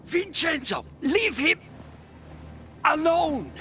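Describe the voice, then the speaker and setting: A man's voice: very high-pitched, very animated, slow pace, bright, forceful tone, soft background music, exaggerated voice. An actor playing an anxious, muddled father in a video game, stammering and flustered, unable to finish his sentences. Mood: alarmed. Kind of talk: video game character acting.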